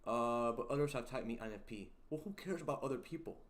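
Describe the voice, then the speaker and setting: A young man hosting a casual livestream, reading chat aloud and joking around, with a deep voice. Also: expressive, quick pace. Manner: playful, jokey, sings snippets and reads chat aloud. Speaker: livestream host.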